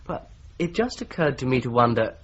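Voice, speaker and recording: An actor playing a polite, methodical young law clerk who presses his points in a calm, persistent way, in a radio drama. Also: medium pitch, fairly quick, slight background noise, lightly acted. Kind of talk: 1970s radio drama acting. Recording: slightly dull broadcast recording.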